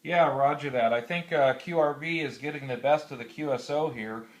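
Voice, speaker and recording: An American man talking casually on amateur radio, explaining methodically and haltingly, with radio procedure words. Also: mid-range voice. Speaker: classroom teacher.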